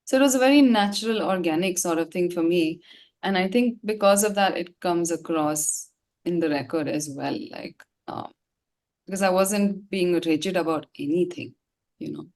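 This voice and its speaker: A woman's voice: lowish voice, normal speed, darkish tone. A young adult woman, speaking casually and reflectively as an interview guest, with informal, slangy asides. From India.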